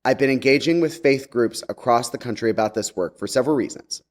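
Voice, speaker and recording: A man's voice: medium pitch, fast talker. Chatty, wry man speaking to a congregation. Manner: chatty, wry, campy slang. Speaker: guest speaker and author. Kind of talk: conversational sermon message to a congregation.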